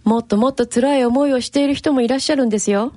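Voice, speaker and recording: Woman as businesslike counselor, polite but tactless, quick, higher voice, radio play. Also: mellow, no room sound, studio quality, lightly acted. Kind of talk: amateur radio drama acting. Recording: clear music behind the voice.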